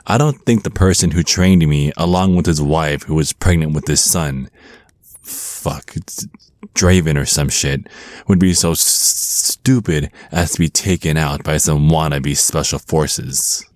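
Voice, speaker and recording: Very deep, slow, smug male vampire naga voiced by a man. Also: no echo. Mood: mocking, incredulous. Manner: smug, teasing, slow deliberate menace with drawn-out words. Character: flirty, menacing male vampire naga crime lord, smug and teasing. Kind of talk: ASMR roleplay acting.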